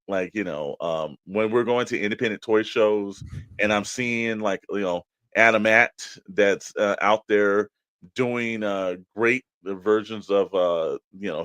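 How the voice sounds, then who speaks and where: Low-pitched man in casual, rambling chat. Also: fairly bright tone, no echo. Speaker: podcast co-host discussing collectible toys. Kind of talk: casual unscripted opinion chat.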